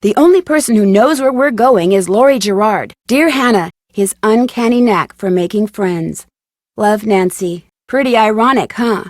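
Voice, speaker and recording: A woman's voice: mid-range voice, moderate pace, darkish tone, no echo, studio quality, natural voice. A woman playing a plucky, wry young amateur detective, chattily narrating her letters in a video game. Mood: bright anticipation. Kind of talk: scripted game narration, reading letters aloud.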